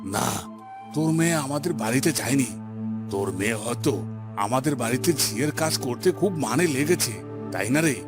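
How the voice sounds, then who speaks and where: A mid-pitched, imperious male voice put on by an actor for a haughty village headman in a cartoon folk tale. He speaks sternly and with melodrama, and music plays behind him. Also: animated, moderate pace, natural voice. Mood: angry, scornful. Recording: background music under the voice.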